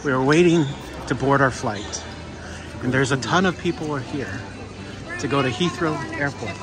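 A man casually vlogging while waiting to board a flight at a crowded airport gate, relaxed and playful. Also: medium pitch, moderate pace. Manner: relaxed and playful, chatting with a companion. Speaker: travel vlogger. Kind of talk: casual vlog chat. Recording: busy gate with loud crowd and music.

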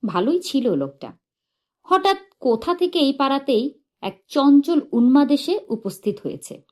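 A woman narrating a humorous short story in an expressive, measured and dramatic storytelling manner. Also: high-pitched. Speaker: audiobook narrator.

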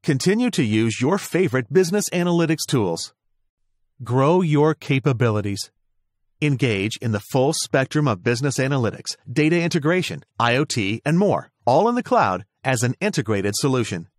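A man with a mid-range voice reads a scripted product overview. His delivery is smooth and measured, in a polished promotional tone. The recording is dry, like a studio voice-over. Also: mid-range voice, sing-song, no echo. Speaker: promotional narrator.

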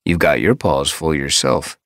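Man doing a steady tomcat leader, deep and gravelly, gentle. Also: unhurried, dry recording, studio quality, heavily acted. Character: male cat clan leader, steady and caring.

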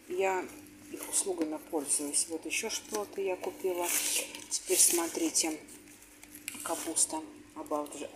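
Chatty woman vlogging on a noisy phone. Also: sits low. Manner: chatty, blunt, addresses viewers as friends. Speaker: tour guide and vlogger. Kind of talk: casual vlog narration. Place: outdoors, in a cathedral and on a train. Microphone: handheld phone camera. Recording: noisy handheld phone audio.

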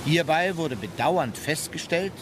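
An actor playing a wry member of an imperial travelling party, narrating memoirs in a radio drama. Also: male voice, a little high, expressive, measured pace, noisy background. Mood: dry recollection.

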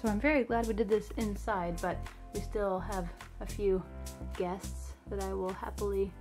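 A woman casually narrating as she works at home, explaining each step in a relaxed, chatty way. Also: medium pitch, moderate pace, over music. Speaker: homesteading vlogger. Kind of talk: casual narration while working. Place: home kitchen indoors.

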